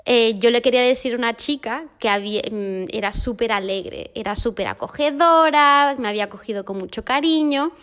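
Una mujer joven con acento de España, invitada en un pódcast, charlando y contando anécdotas entre risas. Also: female voice, a little high, a little fast, few pauses, a bit bright, smooth. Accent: Spain Spanish. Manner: chatty, laughing storyteller. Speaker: podcast guest. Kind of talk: casual conversation.

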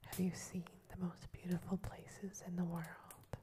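A woman's voice: fairly low pitch, monotone, measured pace, lightly acted. A woman whispering the lines of eager, curious little mice in a storybook reading.

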